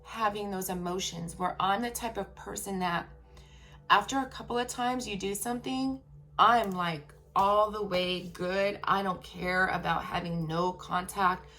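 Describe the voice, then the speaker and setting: Frank, gravelly-voiced woman vlogger. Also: mid-range voice, steady tone, unhurried, pushed and bright, soft background music. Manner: emphatic, frank, keeps checking in with viewers. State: voice getting raspy after a long workday. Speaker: vlogger telling a personal story. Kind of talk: candid personal storytelling to viewers.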